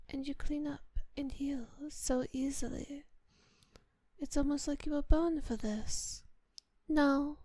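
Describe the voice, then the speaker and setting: A kind vampire mistress, played by a woman, speaks in a high yet velvety soft voice, slipping often into whispers. She is close on the mic in a very dry space, like an ASMR roleplay. Her phrasing is refined and unhurried, tender toward the listener and quietly in command. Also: no room sound. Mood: tender, satisfied. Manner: refined, formal diction. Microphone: close microphone in a dry space.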